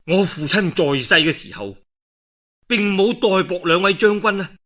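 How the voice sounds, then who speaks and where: A man's voice: sits high, moderate pace, a bit bright, pitched above the performer's own voice. A male storyteller voicing a proud warlord's son, an emphatic and reproachful commander.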